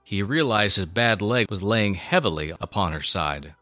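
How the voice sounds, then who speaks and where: A man narrating a scripted story in a solemn, expressive, dramatic way on a close microphone. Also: fairly low pitch, normal speed, no echo, soft background music. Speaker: show host and story narrator.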